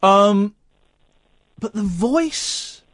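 Radio host man, rambling, keeps restarting, pitch bouncing all over, dry studio sound. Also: lively intonation, drawn-out words, a bit bright, no room sound. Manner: rambling, stammering restarts, musing questions. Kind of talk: casual radio chat.

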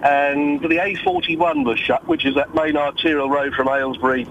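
A man's voice: on the high side, lively intonation, moderate pace, vocal fry, a bit bright, a little hiss or hum. A man calling into a radio show, nasal from a cold, sheepish and apologetic. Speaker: caller. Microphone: phone line. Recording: dull phone-in line.

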